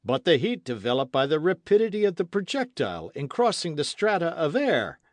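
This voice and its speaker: A man's voice: a little high, sing-song, a little fast, no room sound, voice pushed up. A stubborn skeptic, voiced by a man reading aloud presses his doubts in a firm, slightly raised voice. He sounds insistent and unconvinced. The recording is dry. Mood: skeptical. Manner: insistent, objecting.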